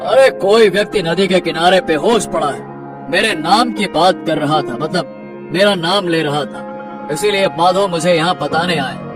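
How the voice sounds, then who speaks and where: A man's voice: high-pitched, measured pace, over music. A man voicing a concerned, commanding king in a Hindi cartoon folktale, with an authoritative, questioning manner. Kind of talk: Hindi cartoon moral story acting.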